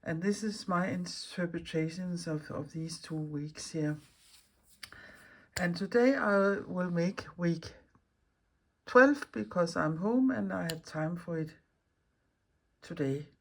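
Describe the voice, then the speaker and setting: Woman, Danish accent, non-native speaker, lowish pitch, casual craft vlog host, unscripted, rambling, halting, searching for words. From Denmark. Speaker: mixed media artist and video host.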